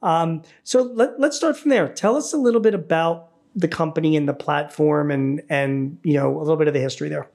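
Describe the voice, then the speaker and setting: Conversational male podcast host, halting delivery. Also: a little high, darkish tone. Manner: halting, repeats words as he frames questions. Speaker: podcast host interviewing a guest. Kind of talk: conversational business interview.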